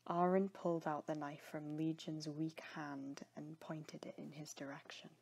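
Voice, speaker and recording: A woman narrates scripted dramatic fiction. Her voice sits fairly low and is breathy and husky, in a storytelling style. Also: level tone, unhurried, a little mellow.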